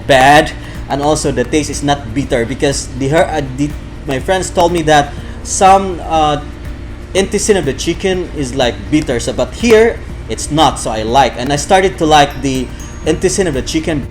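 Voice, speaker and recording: A man with a Filipino accent, from Bohol, hosting a chatty food review vlog, full of repeats and fillers. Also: fairly high pitch. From Philippines, Bohol. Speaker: food vlogger.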